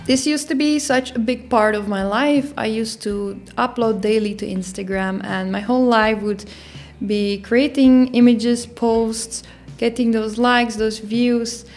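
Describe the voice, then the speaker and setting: Young woman, fairly high voice. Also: fairly even intonation, measured pace, faint music behind. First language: Dutch. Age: young adult. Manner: reflective and easygoing, with soft fillers. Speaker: YouTuber. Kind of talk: casual vlog narration.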